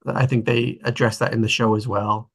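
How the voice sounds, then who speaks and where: A man's voice: mid-range voice, little pitch movement, moderate pace, vocal fry. A man hosting a casual group discussion, speaking in an informal, tentative way. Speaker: table read host.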